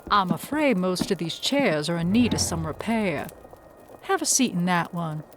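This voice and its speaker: A woman's voice: on the low side, lively intonation, background noise, close to an everyday voice. A woman playing a courteous, watchful frontier storekeeper in a western audio drama, with polite, formal phrasing. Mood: courteous, hospitable. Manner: polite, formal, measured hospitality.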